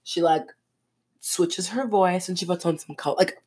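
A woman with a fairly low voice co-hosts a podcast. She chats loosely and jokingly, leaning on lots of fillers. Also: a little slow, slightly rough. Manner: animated, joking, frequent "like". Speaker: podcast co-host. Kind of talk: casual chat.

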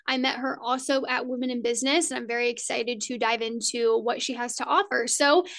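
Woman, slight Southern US accent, fairly high voice, friendly podcast host, rambling. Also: fairly even intonation, fairly quick, a bit bright. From Indiana, USA. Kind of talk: casual podcast interview.

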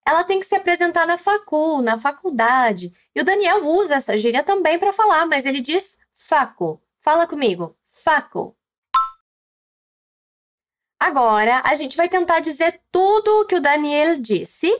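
A woman with a Brazilian Portuguese accent, teaching a language lesson slowly and clearly, prompting the listener to repeat. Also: sits high, big pitch swings. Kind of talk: scripted lesson narration.

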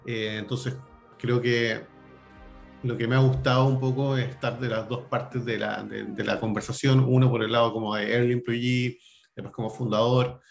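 A man's voice: mid-range voice, level tone, moderate pace, creaky, faint music behind. A Chilean man in his thirties, a venture capital partner, speaking loosely in a casual interview with many fillers and restarts. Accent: Chilean Spanish.

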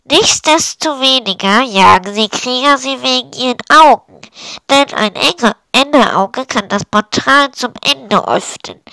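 A woman's voice: high voice, bright, forceful tone, dry recording, exaggerated voice, poor audio. A woman voicing a stern, easily alarmed village teacher in a storybook, speaking in an emphatic, instructive way.

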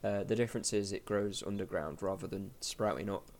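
Lowish guy, podcast host, rambly and full of ums and uhs. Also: brisk, a little mellow. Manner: rambling, many fillers and restarts. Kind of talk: casual podcast chat.

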